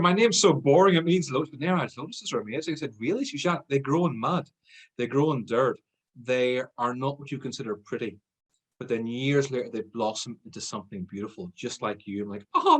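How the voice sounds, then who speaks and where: An Irish man answering podcast questions in a loose, chatty way, poking fun at himself and laughing easily. His voice is a little husky. He sits at a middle pitch. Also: fairly bright tone. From Ireland. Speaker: guest, sales trainer. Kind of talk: casual podcast interview.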